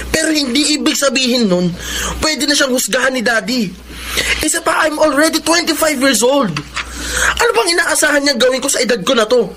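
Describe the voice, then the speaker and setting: A man's voice: very high voice, background noise. An actor playing a defiant, aggrieved son in his twenties in a Filipino radio drama. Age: 20s. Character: defiant, aggrieved 25-year-old younger son.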